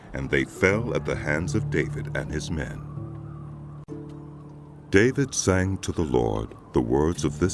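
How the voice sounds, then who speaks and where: Very deep male scripture narrator, over music. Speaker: Bible narrator. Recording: music behind the voice. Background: background music.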